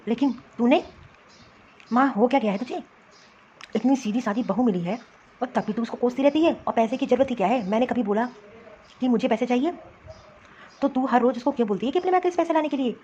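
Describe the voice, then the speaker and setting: Protective cartoon son, played by a woman: raised pitch, reproachful, insistent, a little lo-fi. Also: warm tone, a little hiss or hum, close to an everyday voice. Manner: remonstrating, insistent. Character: protective adult son and husband, righteous and reproachful. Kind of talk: Hindi cartoon family drama acting.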